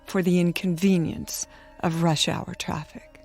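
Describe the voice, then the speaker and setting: A woman's voice: on the low side, steady tone, unhurried, darkish tone, soft background music. An actress playing a stubborn, suspicious woman patient in a radio drama, insistent and defensive. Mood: smugly insistent.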